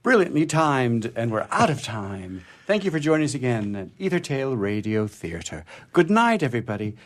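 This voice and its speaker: A man's voice: medium pitch, very animated, normal speed. A man hosting a radio theatre show, giving a jokey sign-off.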